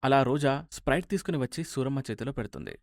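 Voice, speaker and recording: A man's voice: mid-range voice, big pitch swings, fast, darkish tone, dry recording. A man narrating a scripted story as its storyteller.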